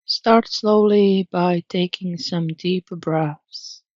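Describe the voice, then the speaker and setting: A woman narrating a guided meditation in a soothing, gentle, measured manner. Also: lowish voice, fairly even intonation, dry recording, dull audio.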